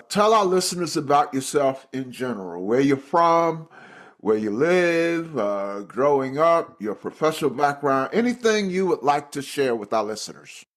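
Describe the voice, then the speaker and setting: Lively-voiced male podcast host. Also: mid-range voice. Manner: halting, restarts when asking questions. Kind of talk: reading an intro, then casual interview.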